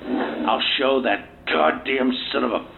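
A man's voice: medium pitch, steady tone, slow pace, creaky. A man playing an insulted, hot-tempered scientist making sweary threats in an audio drama. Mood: outraged. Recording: muffled tinny recording with noise.

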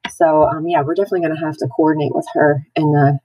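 A woman's voice: deep voice, level tone, fast, warm tone, husky, dry recording, poor audio. An American woman chatting casually as a podcast co-host. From New Jersey, United States. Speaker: podcast co-host, dental hygienist.

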